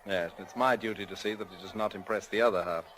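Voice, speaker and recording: A man's voice: on the low side, quick pace, fairly bright tone, natural voice, rough recording. A man acting a suave, wryly corrupt police prefect in 1940s radio style, with a genial, teasing manner. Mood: wry, warning.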